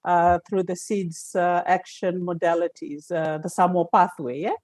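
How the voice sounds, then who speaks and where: A woman from Fiji, an energy analyst answering interview questions with many fillers and pauses, speaking over a remote call. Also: lowish voice, steady tone, darkish tone, no echo, clean studio sound.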